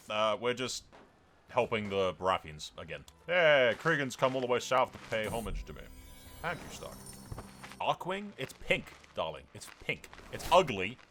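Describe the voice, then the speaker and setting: Guy doing casual gaming commentary, really animated and sweary, swings up and down, music in the back. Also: mid-range voice, normal speed, a little hiss or hum. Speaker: gaming video host. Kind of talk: casual game commentary with roleplay.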